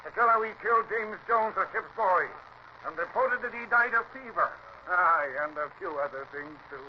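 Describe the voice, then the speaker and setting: On a muffled, lightly noisy vintage radio recording, an old mutineer captain. His voice is high for a man, and he is defiant and wary, with a mocking courtesy. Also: lively intonation, normal speed. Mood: bitter, bargaining. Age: older. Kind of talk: radio drama acting.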